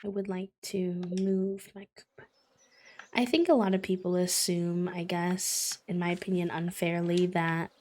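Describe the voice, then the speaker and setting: A woman streaming a game, chatting casually and thoughtfully with many fillers, her voice slightly croaky from just waking up. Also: lowish voice, steady tone, unhurried, darkish tone, a little hiss or hum. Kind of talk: casual livestream chat while playing.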